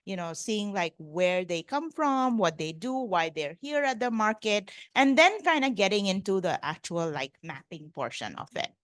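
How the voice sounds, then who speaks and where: A woman's voice: mid-range voice, very animated, normal speed, hardly any pauses, a bit bright, no room sound. A woman from India giving a casual conference lecture, chatty and full of fillers like "like" and "you know". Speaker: professor lecturing.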